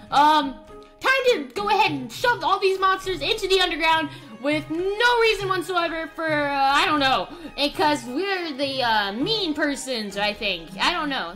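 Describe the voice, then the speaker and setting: Bright, high-voiced, animated woman gamer. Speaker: gaming YouTuber. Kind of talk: casual roleplay let's play commentary.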